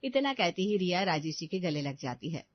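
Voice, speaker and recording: A woman's voice: lowish voice, big pitch swings, moderate pace, highs cut off. A woman reading scripted narration for a family moral story.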